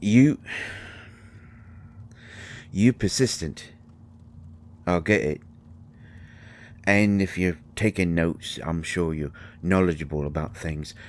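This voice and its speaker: An actor playing a grieving, gruff and defensive fairy husband in a roleplay audio drama, speaking haltingly and with raw emotion. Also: male voice, low-pitched. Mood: irritated, defensive. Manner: halting, emotional, swinging from snappish outbursts to quiet murmurs.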